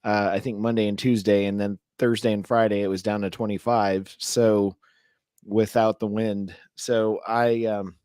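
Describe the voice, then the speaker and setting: Hoarse male co-host, lowish voice, dry close-miked sound, chatty and rambling. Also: level tone, measured pace, darkish tone, dry recording. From Ohio. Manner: chatty, rambling, laughs often. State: sore throat, losing his voice. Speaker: podcast co-host. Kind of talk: casual chat. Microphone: mic held very close.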